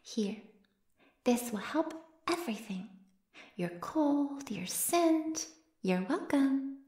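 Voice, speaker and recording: A woman's voice: high voice, animated, slow, darkish tone. A woman playing an ancient, smugly teasing female vampire in an intimate ASMR roleplay, murmuring coyly and often slipping into a whisper. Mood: playful. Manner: coy, breathy murmurs that often drop to a whisper. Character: ancient predatory female vampire, playfully menacing, smugly teasing her captive. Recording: roomy cave-like echo.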